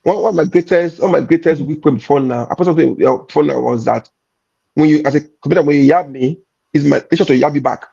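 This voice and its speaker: Nigerian male comedian, husky gravelly voice, emphatic repeats, pidgin turns; dull lo-fi recording. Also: fairly high pitch, quick pace, mellow. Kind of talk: candid conversational chat.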